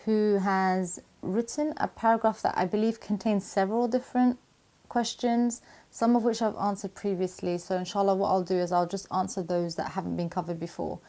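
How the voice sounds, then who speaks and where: A woman teaching Quran memorization, answering viewer questions in a calm, encouraging, measured way, with Arabic religious phrases woven in. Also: medium pitch. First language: not Arabic. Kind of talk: Q&A video talk to camera.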